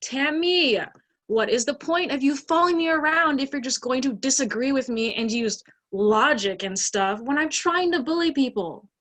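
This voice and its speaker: A woman's voice: high voice, normal speed, fairly bright tone. A woman in an amateur play acting a snooty teenage bully with a scornful, drawling valley-girl manner. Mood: scornful, gossipy.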